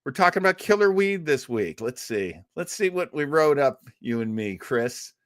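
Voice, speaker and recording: A man hosts a show and wraps up the episode in rambling, friendly chat, tossing in self-mocking asides. His pitch moves a great deal from phrase to phrase. The recording is dry and close, with no room sound. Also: big pitch swings, normal speed, no echo. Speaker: podcast host. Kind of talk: casual chat wrapping up an episode.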